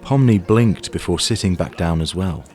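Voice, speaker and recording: A man's voice: low-pitched, animated, moderate pace, a little mellow, soft background music. A man reading scripted story narration in the third person for an audio drama.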